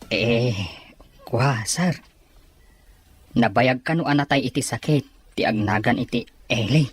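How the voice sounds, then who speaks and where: A woman's voice: very deep voice, measured pace, breathy edge, faint music behind, exaggerated voice. A woman playing a deferential, concerned aide in a radio drama. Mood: respectful, concerned.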